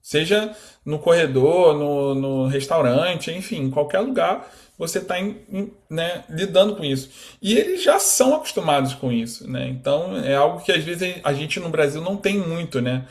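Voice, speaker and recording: A Brazilian man in his thirties from Rio, a guest talking casually in an interview, animated and full of fillers. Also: fairly high pitch, normal speed. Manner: animated, quotes imagined speech, many fillers.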